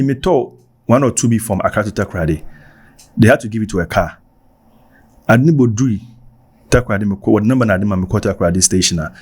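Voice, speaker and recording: A Ghanaian man with a Ghanaian accent, casually telling personal stories for his vlog, animated and repeating himself for emphasis. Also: on the low side, slightly rough. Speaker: vlogger.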